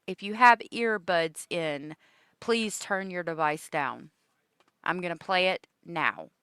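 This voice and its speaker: A woman's voice: lowish voice, slow, a bit bright, clear, resonant tone, dry recording, clean studio sound. A woman hosting a true-crime video, giving informal commentary on a case.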